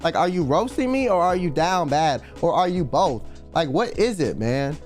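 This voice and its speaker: A man's voice: on the high side, lively intonation, normal speed. A young man streaming a casual late-night chat, rambling in slang and reading donations aloud. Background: faint background music.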